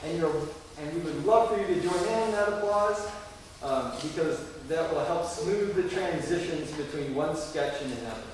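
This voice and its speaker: Man, live event announcer, fairly high voice, heavy hall echo, audience address. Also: normal speed. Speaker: presenter introducing a school play. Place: large echoey room, recorded from the audience. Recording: echoey hall, distant mic, faint noise.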